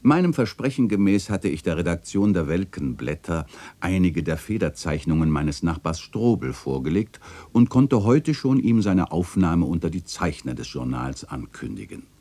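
Guy playing wistful old chronicler in a radio play, super low dark voice, solemn and theatrical. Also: big pitch swings, moderate pace, heavily acted. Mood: wry, storytelling. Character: melancholy old male chronicler remembering his neighbourhood and its dead. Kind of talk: literary radio play narration.

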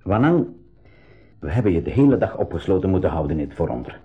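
A man's voice: low-pitched, very animated, fast talker, narrow, tinny audio. A man playing a courteous crew leader in a radio drama, speaking politely and hesitantly but firmly. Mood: calm, considerate. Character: courteous, firm uncle leading a submarine crew. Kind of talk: 1980s school radio drama acting.

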